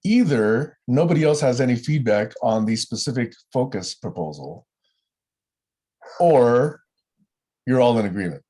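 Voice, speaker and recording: Man with a dark husky voice. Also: mid-range voice, animated, measured pace, long pauses. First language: not English. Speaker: meeting facilitator. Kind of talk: casual group video call.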